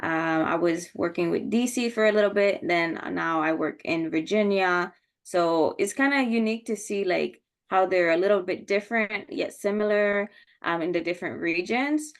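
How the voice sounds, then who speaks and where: A woman speaking as a nutritionist on an online panel, relaxed and casual, with many ums. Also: fairly low pitch, moderate pace. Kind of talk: panel discussion on video call. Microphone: video call.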